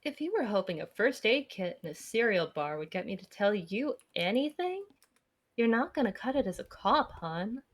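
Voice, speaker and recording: A woman's voice: medium pitch, big pitch swings, normal speed. Woman playing a wary, sardonic fugitive. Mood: wry, suspicious. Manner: dry, sarcastic, confrontational. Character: wary, sardonic fugitive who sizes people up. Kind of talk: amateur visual novel read-aloud acting.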